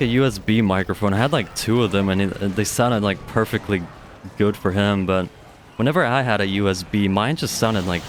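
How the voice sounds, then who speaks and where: A young man with a deep voice doing casual, chatty live commentary on a strategy game match, speaking into a studio microphone and swearing offhandedly. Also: slight background noise. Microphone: studio dynamic microphone.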